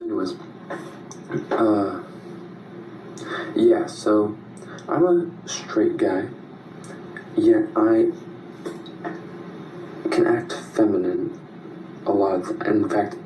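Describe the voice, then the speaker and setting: A man talking slowly and hesitantly to camera. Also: on the low side, warm tone. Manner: slow, hesitant. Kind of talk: casual talk to camera. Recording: echoey room, faint noise.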